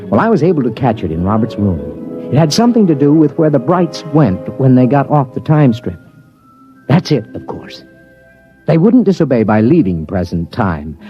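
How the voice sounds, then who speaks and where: An actor playing an earnest young father who narrates his story thoughtfully, in a 1950s radio drama. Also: medium pitch. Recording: muffled old radio broadcast, faint music.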